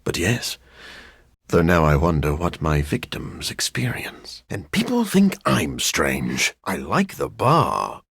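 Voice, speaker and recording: A man voicing a brooding, dryly witty living-vampire scientist in a video game, with a raspy, gravelly voice and a formal, sardonic, deadpan manner. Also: sits low, takes it slowly, no echo, studio quality, heavily acted. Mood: grave, reflective. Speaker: doctor and scientist. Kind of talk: video game character voice lines.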